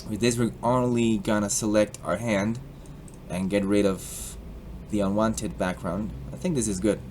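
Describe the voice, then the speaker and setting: A young man with a Colombian accent casually explaining a software tutorial, joking and slipping into put-on accents. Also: fairly low pitch, fairly even intonation, unhurried, slight background noise. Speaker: tutorial host.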